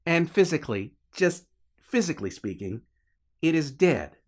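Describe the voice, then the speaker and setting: A man's voice: a little high, big pitch swings, normal speed, husky, voice pushed up. A man voicing a panicky, incredulous man in an audiobook, exasperated and questioning. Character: Jonah, panicky, incredulous young man arguing with the devil.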